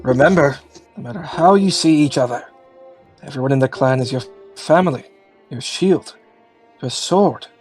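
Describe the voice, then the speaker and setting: A man playing a stern, solemn elder who presides over a ceremony with loud, formal command. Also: medium pitch, normal speed, pauses often, lightly acted. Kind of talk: ceremonial audio drama acting. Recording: music behind the voice.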